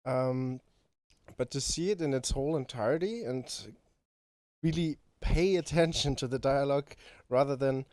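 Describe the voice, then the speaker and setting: A male guest speaks casually and haltingly, repeating words as he finds his way. His pitch is middling and the recording is dry and close. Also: darkish tone, no echo. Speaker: guest composer. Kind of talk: casual chat.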